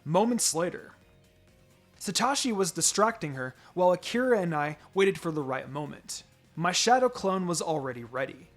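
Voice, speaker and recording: A man with a slightly high voice narrates a piece of fan fiction. His delivery is expressive and dramatic, as a scripted story read aloud. Music plays faintly behind him. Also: normal speed. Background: background music.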